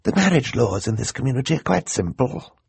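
A man's voice: mid-range voice, sing-song, fairly quick, creaky, darkish tone, slightly rough, no echo, voice pushed up, full character voice. A man voicing a scheming, theatrical male villain who gloats and mocks, in an audiobook. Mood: gloating, grand. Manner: gloating, grand and mocking.

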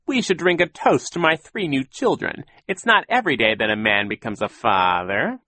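A man's voice: medium pitch, moderate pace, bright, forceful tone, no echo, pitched above the performer's own voice. A man voicing a scheming villainous sea captain who gushes with false sentiment, in an audiobook story. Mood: gloating, jovial. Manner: theatrical, gushing insincerity.

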